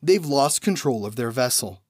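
A man hosting a trivia podcast, reading numbered questions and then giving each answer after a pause, in a measured way. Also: medium pitch, expressive, a little mellow, dry recording, studio quality.

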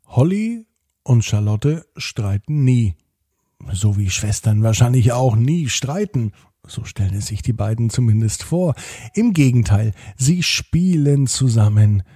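A man's voice: mid-range voice, dark tone, husky, dry recording, clean studio sound. A man hosting a children's podcast and narrating a bedtime story, speaking directly to young listeners. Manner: addresses young listeners directly, drawn-out repeated words.

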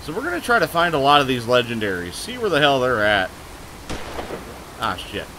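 Booming, excitable male game streamer. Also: fairly quick, a little hiss or hum, rough recording. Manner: booming, loud and excitable, swearing, reading chat aloud. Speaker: gaming streamer. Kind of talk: live gameplay commentary.

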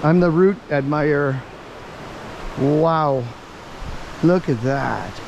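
A man's voice: a little high, creaky, a little mellow, dull audio. A man from Florida filming a jungle hike, commenting casually and exclaiming at the views, sometimes switching to simple Spanish. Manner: exclaims often, easily impressed; breaks into simple Spanish with locals. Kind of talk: casual running commentary while hiking. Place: outdoors by a jungle waterfall and river. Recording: rushing water noise behind.

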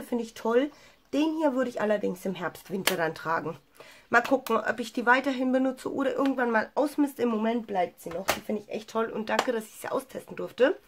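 A woman's voice: a little high, noisy background. A young woman with a cold, chatting enthusiastically about her makeup with lots of fillers, recorded by a camera in a small room at home. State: has a cold. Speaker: beauty vlogger. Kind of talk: casual makeup review.

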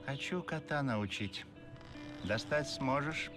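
A man voicing an eccentric cartoon creature boss, unhurried, terse and commanding. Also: medium pitch, over music, natural voice. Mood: commanding, calm.

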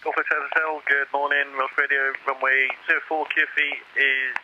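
A man working as an airfield radio operator, giving brisk standard phraseology over aircraft radio. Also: mid-range voice, flat delivery, bright, forceful tone. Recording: harsh narrow radio, faint noise.

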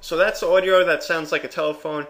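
Fast, bright-voiced man presenting a tutorial. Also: a little high, steady tone. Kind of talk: casual software tutorial narration.

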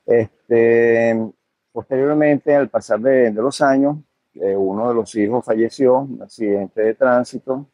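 A man's voice: mid-range voice, unhurried, warm tone, no room sound. An older man, a hotel owner answering interview questions in a hesitant way, full of fillers and restarts.

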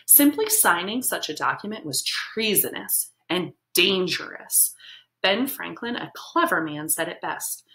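A woman's voice: fairly low pitch, measured pace, rough recording. An American woman in her thirties reading a picture book aloud expressively, explaining as she goes. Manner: animated, explanatory. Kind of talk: expressive children's read-aloud with simple asides. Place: small book-lined room at home.

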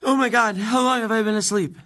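An actor playing an earnest, anxious transgender teenage girl in an audio play, speaking in halting, youthful phrases. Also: mid-range voice, fairly even intonation, sharp tone, natural voice. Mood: upset. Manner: hesitant, halting, youthful phrasing with likes and ums. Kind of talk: naturalistic audio play acting.

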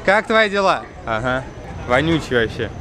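A man's voice: mid-range voice, big pitch swings, normal speed, crowd noise. Young male travel vlogger, offhand joking delivery, windy outdoor camera audio with boat noise behind. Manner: joking, loose slangy commentary. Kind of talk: casual travel vlog chat. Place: outdoors on a windy waterfront and pier.

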